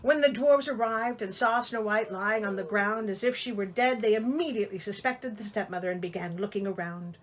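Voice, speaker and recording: A woman's voice: medium pitch, brisk, few pauses, a little hiss or hum. A woman reading a storybook aloud in a theatrical way, breaking in with sarcastic, exasperated comic asides. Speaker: storyteller, host of a reading show.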